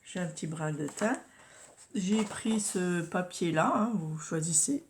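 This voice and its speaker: A woman's voice: fairly low pitch, unhurried, slight background noise. A woman with a head cold chatting casually through a crafting tutorial, often murmuring and whispering to herself as she works. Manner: drops to murmurs and whispers while working, repeats "voilà" often. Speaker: craft vlogger.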